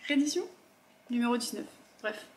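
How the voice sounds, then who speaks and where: A young woman chatting casually as she unboxes beauty products at home, with quick asides and murmured afterthoughts. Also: fairly high pitch, animated, darkish tone. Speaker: beauty vlogger.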